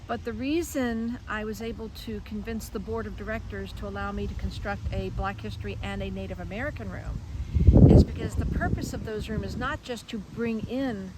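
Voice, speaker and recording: A woman's voice: mid-range voice, steady tone, fairly quick, background noise. A woman speaking as an interview guest and museum director, explaining things in an earnest, articulate, conversational way.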